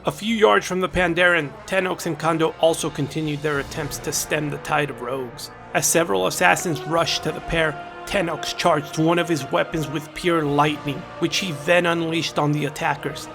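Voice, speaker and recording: Male narrator for a fantasy audio story, creaky edge, dramatic scripted read over light music. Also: on the high side, fairly even intonation, moderate pace, few pauses. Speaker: author and narrator.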